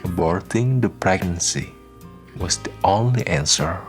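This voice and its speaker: A man reading scripted narration for a radio drama, with soft guitar music behind him. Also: low-pitched, expressive, unhurried.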